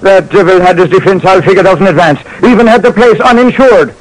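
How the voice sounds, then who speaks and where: Man acting as emphatic, stubborn fire chief, raised pitch. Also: fairly quick, close to an everyday voice. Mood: angry, bitter. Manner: emphatic, insistent. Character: stubborn, obsessed male fire battalion chief. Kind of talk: old-time radio crime drama acting. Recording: noisy old radio recording.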